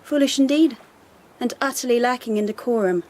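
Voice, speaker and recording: An actress playing a haughty, icy young empress in a radio drama, imperious, formal and measured in her disdain. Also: fairly high pitch, darkish tone, close to an everyday voice. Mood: haughty, probing.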